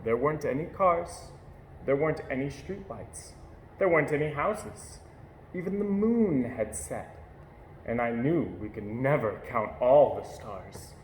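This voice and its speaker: A man reading a children's picture book aloud with gentle, measured storytelling. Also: medium pitch, expressive. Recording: echoey room with faint hiss.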